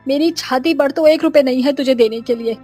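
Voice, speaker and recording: A woman's voice: high-pitched, a little fast, dark tone, soft background music, lightly acted. A woman voicing a harsh older mother-in-law in a cartoon, scolding and cursing.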